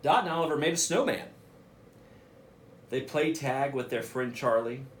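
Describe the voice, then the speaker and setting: A man, a school principal, reading a picture book aloud for children in an expressive way, with casual asides. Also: medium pitch, moderate pace, a little hiss or hum. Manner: expressive, addresses children directly.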